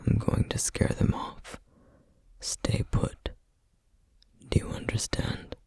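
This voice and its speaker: A man playing a protective, duty-bound flame demon in an ASMR roleplay, speaking in a hushed, gravelly, halting and intense way. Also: no echo, clean studio sound, natural voice. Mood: urgent, protective. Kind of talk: ASMR roleplay, scripted character acting.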